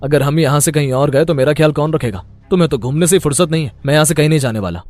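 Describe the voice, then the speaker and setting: A man's voice: on the high side, racing, darkish tone, soft background music, lightly acted. A man playing a stubborn, neglected young husband in a melodramatic story, with an emphatic, aggrieved manner. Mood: defiant. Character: neglected, stubborn young husband Aditya, smitten with another woman. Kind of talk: melodramatic Hindi moral story acting.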